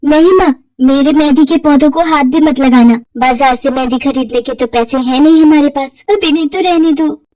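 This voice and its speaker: A woman puts on a sweet but stubborn teenage girl from a cartoon tale. She sounds girlish and pleading, very high in pitch, in a dry recording. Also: moderate pace, few pauses, darkish tone, no echo, recorded from a distance, natural voice, low-quality recording. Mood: hurt. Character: sweet, stubborn, caring teenage daughter who loves henna.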